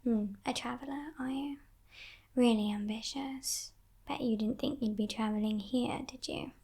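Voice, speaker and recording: A woman voicing a playful, menacing female demon in a breathy, teasing whisper for an ASMR roleplay. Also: a little high, steady tone. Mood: teasing, curious. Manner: teasing, condescending, softly sinister, unhurried.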